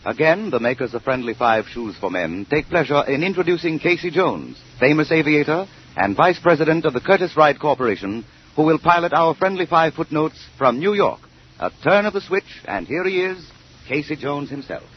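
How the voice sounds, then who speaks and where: A man's voice: on the high side, sing-song, normal speed. An American man announcing a scripted program in the style of early 1930s radio. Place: radio studio. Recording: noisy, muffled early 1930s radio broadcast.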